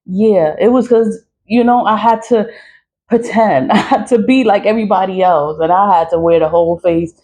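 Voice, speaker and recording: A woman's voice: medium pitch, moderate pace, mellow. 40-something woman ranting on her vlog, cussing, laughing a lot, totally unbothered. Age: 40s. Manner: blunt, profane, laughs often. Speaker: social media creator. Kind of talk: casual vlog rant.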